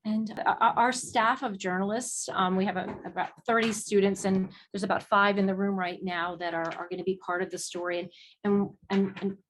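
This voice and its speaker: A young woman, a student journalist, introducing an interview in a friendly, halting way. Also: mid-range voice, fairly even intonation, a little hiss or hum.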